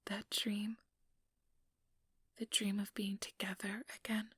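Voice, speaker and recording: A woman's voice: mid-range voice, level tone, takes it slowly, a bit bright, no room sound, heavily acted. A woman voicing an ambitious, manipulative female vampire in an intimate, coaxing ASMR whisper, close to the microphone. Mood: coaxing, idealistic. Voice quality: breathy, whispered. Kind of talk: ASMR whispered role-play monologue. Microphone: close microphone, dry.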